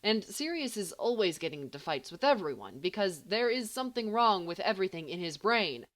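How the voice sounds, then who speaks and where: Female audiobook narrator, scripted story reading, mid-pitched with animated, varied intonation. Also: a little fast. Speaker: audiobook reader.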